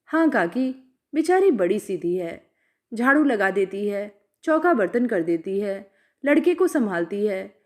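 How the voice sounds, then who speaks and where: A woman's voice: medium pitch, very animated, unhurried. A woman voicing a humble, kindly young village mother with deferential, rustic phrasing in a storytelling reading. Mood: earnest defending.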